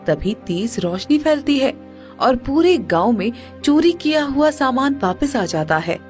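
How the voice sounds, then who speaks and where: A woman's voice: sits high, very animated, measured pace, darkish tone, soft background music. A woman giving scripted narration for a moral story.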